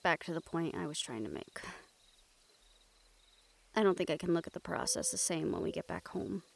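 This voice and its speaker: A woman acting in an intimate audio roleplay as a remorseful, weary young woman with dangerous powers, speaking softly and hesitantly to the listener. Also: fairly low pitch, very animated, moderate pace, vocal fry, slight background noise. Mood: troubled, tired.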